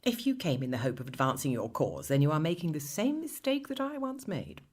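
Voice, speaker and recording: An actress playing a world-weary upper-class mother in a period radio drama, with a husky voice. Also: sits low, big pitch swings, fairly quick, a little mellow. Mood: disillusioned.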